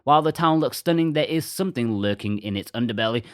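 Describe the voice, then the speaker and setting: A man's voice: medium pitch, animated, fast talker, smooth, no room sound, studio quality. A man presenting a scripted video game review as narrator.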